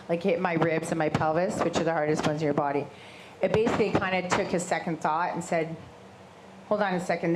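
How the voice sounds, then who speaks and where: A woman with a big voice telling her story to a live audience, animated and gesturing as she talks. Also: lowish voice, noisy background. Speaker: shark attack survivor and guest speaker. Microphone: handheld microphone.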